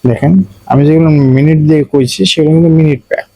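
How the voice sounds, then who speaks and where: A man's voice: a little high, fairly even intonation, rapid-fire, warm tone, no echo, poor audio. A man with a Bangladeshi accent narrating a casual step-by-step phone tutorial, explaining repetitively and addressing viewers directly. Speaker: tech tutorial host.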